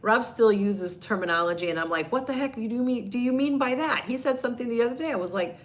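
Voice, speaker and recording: A woman's voice: on the high side, expressive, fast talker, slight background noise. Giggly older woman on a live stream. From United States. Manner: giggly, laughs often. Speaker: co-host of a travel channel. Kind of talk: casual live stream chat.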